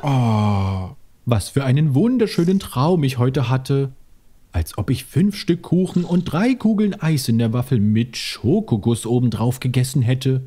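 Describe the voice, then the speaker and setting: Fatherly cartoon king, very lively intonation. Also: mid-range voice, unhurried. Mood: dreamy, cheerful. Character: fatherly king, genial, easily flustered husband and dad. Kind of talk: German children's cartoon dubbing. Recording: music behind the voice.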